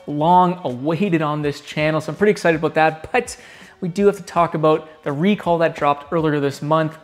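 An upbeat young man hosts a car channel and explains a topic straight to camera. His voice sits a little high for a man. He stands in a large garage workshop, speaking into a hidden mic. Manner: upbeat, talks with his hands. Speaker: automotive channel host.

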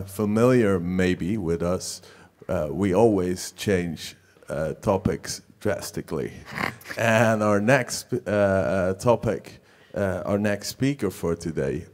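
A young man hosts from a theater stage, speaking into a handheld mic with a somewhat low voice. His introductions are friendly but halting, with plenty of "uh" between phrases. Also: darkish tone. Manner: friendly, halting, with many fillers. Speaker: host. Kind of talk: stage hosting, introductions and questions.

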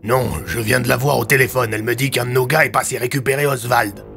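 A man playing a serious, easily irritated police commissioner in an audio drama, with a gravelly voice. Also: medium pitch, steady tone, fast, fairly bright tone, faint music behind. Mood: stern, concerned.

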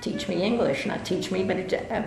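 An older woman from New York, an interviewee, remembering her past in an unhurried way with many hesitations. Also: mid-range voice, creaky, darkish tone. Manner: unhurried, with frequent hesitations and restarts. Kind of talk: casual reminiscing interview. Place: indoor library room with bookshelves. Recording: roomy echo, music underneath.

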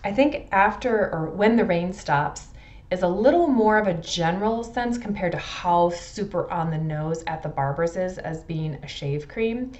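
A middle-aged woman giving a casual product review to camera in a measured, thoughtful way, stressing descriptive words. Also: fairly low pitch, expressive, darkish tone, dull audio. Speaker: fragrance reviewer. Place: indoors in a furnished room at home.

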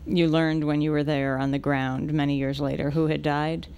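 A woman interviewer asks short, direct questions in a studio. Her voice is smooth and resonant, with a gravelly edge at the ends of phrases. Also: deep voice, little pitch movement, normal speed, darkish tone. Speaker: interviewer and host.

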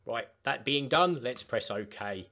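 Man with animated, swinging intonation. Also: mid-range voice, slow pace. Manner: emphatic, instructional. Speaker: tutorial presenter. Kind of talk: informal step-by-step software tutorial. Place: voice-over for screen recording.

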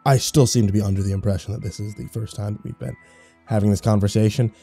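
A man's voice: on the low side, fairly even intonation, fast, creaky, dark tone, husky, faint music behind. A man voicing a smug, mocking male deal maker who speaks in a sly, theatrical drawl in a horror story.

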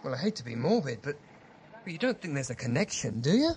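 A man's voice: medium pitch, sing-song, fast, close to an everyday voice. A man playing a sceptical but concerned doctor in an audio drama, questioning and incredulous.

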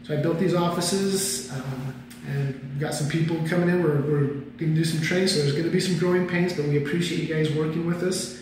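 A man's voice: fairly high pitch, fairly even intonation, brisk, darkish tone, a little hiss or hum. A man in his forties, a warehouse director, giving a rambling, self-deprecating tour of the office and warehouse, full of fillers. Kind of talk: casual company tour. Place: office, then large warehouse. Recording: long warehouse reverb.

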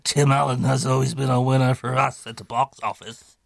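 An actor playing a curt, bossy older studio boss, impatient and money-minded, in a radio drama. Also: male voice, medium pitch, little pitch movement, brisk, vocal fry, dry recording. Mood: businesslike, impatient.

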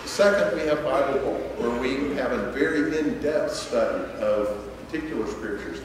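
A man in his forties gives an earnest, steady church address from a pulpit, pausing on fillers as he speaks. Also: medium pitch, a little hiss or hum. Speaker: church program leader. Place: large church auditorium. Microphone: podium mic at a distance. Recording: echoey hall, voice heard from far off.

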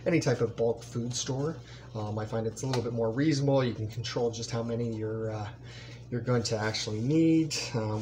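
A middle-aged man giving a casual cooking demonstration in his home kitchen, relaxed and full of ums as he stirs, with clatter behind him. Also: mid-range voice, noisy background. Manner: relaxed, talks while stirring, many ums. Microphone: built-in camera mic, close framing.

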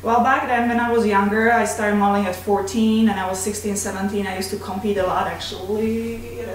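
A woman's voice: medium pitch, fairly even intonation, a little fast. Czech-accented woman host in her forties, candid and plainspoken, distant mic in a very echoey room with faint hiss. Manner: candid, slightly broken grammar. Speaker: vlog host. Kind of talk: casual chat. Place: home kitchen, indoors. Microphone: desk mic on tripod, camera at a distance.

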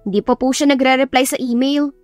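A woman's voice: high-pitched, a little fast, no echo, voice pushed up, natural voice. A woman voicing a respectful, earnest young female secretary with a Filipino accent and a polite, helpful manner. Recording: music behind the voice.

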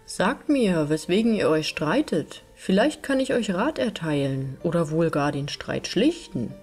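A woman voicing a hopeful young male wanderer in a storybook, earnest and measured. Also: lowish voice, sing-song, darkish tone, faint music behind. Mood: earnest offering.

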